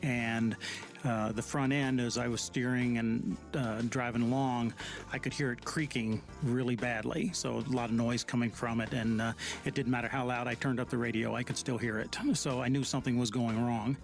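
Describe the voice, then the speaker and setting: A man with a Texan drawl recounts his experience in a radio ad testimonial. His voice has a gravelly rasp and he hesitates often with fillers. Quiet music plays behind him. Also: mid-range voice, fairly even intonation. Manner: halting, with many fillers. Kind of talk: unscripted testimonial.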